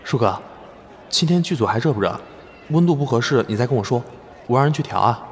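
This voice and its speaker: A man's voice: medium pitch, animated, fairly quick, slight background noise, lightly acted. A man playing an eager, devoted young male celebrity in an audio drama, earnest and keen to please. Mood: eager, reassuring.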